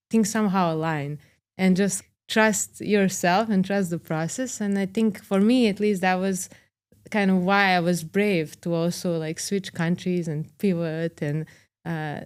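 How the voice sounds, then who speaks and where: A woman's voice: mid-range voice, fairly even intonation, unhurried, smooth. A young woman from Serbia hosting a podcast interview, relating personal stories with many "like" fillers. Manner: warm, relating personally, fillers "like" and soft laughs. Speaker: podcast host. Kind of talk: conversational interview.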